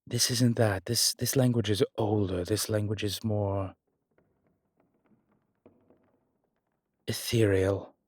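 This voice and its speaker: A man playing a strong, scholarly demon in a horror roleplay audio, explaining things in a deliberate, halting, pedantic way. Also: lowish voice, steady tone, dry recording. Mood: scholarly, puzzled. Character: strong, scholarly male demon, exasperated occult lecturer. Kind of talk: immersive one-sided roleplay audio drama.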